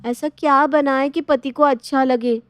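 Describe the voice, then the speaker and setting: High-voiced woman narrating a story. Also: fairly even intonation, measured pace, faint music behind. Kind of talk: scripted bedtime story narration.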